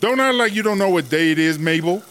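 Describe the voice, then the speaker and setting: A man's voice: high-pitched, animated, fast talker, fairly bright tone, a little hiss or hum. A man playing a brash, vain, bossy female prison inmate in a comedic audio drama, with sassy, slangy talk. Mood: bossy, pressing. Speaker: interviewed inmate character.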